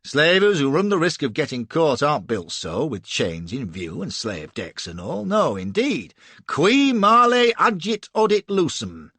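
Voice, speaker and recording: A man voicing a cold, menacing middle-aged slave-ship captain in an audiobook, quietly threatening and commanding. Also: on the high side, wildly swooping pitch, measured pace, no echo, voice pushed up, exaggerated voice. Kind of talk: audiobook character voice.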